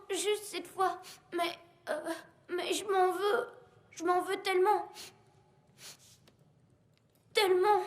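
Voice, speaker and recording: A woman voicing an earnest, impulsive young child in an animated film. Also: very high voice. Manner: emotional, impulsive. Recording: echoing sound with music behind.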